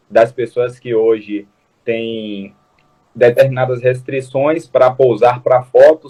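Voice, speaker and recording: A man's voice: medium pitch, darkish tone, rough recording. A man speaks earnestly as a guest on a talk show, explaining his activism in a casual conversational way. He often stumbles and restarts his phrases mid-sentence. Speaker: guest, food security activist.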